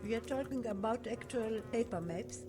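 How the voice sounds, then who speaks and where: A woman's voice: a little high, moderate pace, close to an everyday voice. A woman voicing a dry, streetwise woman character in a video game. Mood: exasperated. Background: game music.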